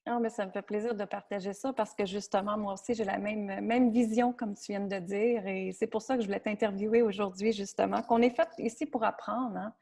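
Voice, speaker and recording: A woman's voice: medium pitch, fairly even intonation, brisk, hardly any pauses, darkish tone. A woman with a Quebec French accent hosting a podcast and chatting with a guest in a conversational interview style. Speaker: podcast host, coach.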